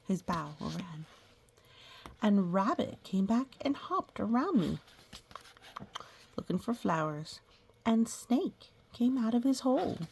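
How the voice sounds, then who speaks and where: A woman reading a picture book aloud to young children, gently pausing to explain things and ask questions. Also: mid-range voice, gravelly, slight background noise. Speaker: children's story reader.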